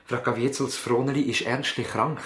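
A man's voice: mid-range voice, steady tone, fairly quick, fairly bright tone, breathy edge. A man playing an earnest, worried country doctor in a radio drama, formal and serious, with a Swiss accent. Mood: grave, firm. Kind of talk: German-language radio drama acting. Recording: slightly veiled 1970s radio drama sound.

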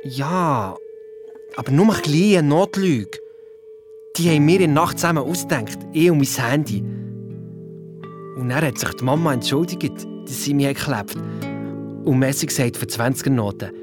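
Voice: medium pitch, expressive, moderate pace, long pauses, music in the background, close to an everyday voice. An actor playing Luiz's part as a young schoolchild detective, with a Swiss German accent, retelling adventures colloquially and acting out what others said. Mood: confiding. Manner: colloquial, acts out quoted speech. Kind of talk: children's radio play, colloquial first-person retelling.

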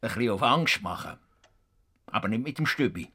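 Grumbling, stubborn old Swiss farmer. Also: male voice, on the low side, expressive, moderate pace, sharp tone, slightly rough, no echo, clean studio sound, heavily acted. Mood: angry, defiant. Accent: Swiss German. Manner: grumbling, defiant, emphatic. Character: stubborn, indignant old male Swiss farmer and self-appointed world reformer. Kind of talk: radio play acting.

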